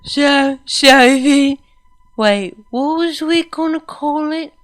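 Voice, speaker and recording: A woman voicing a very drunk, giggly female pony who rambles in slurred, breathless, drawn-out words for a story reading. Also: high voice, fairly bright tone, faint music behind, pitched above the performer's own voice. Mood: merry, muddled.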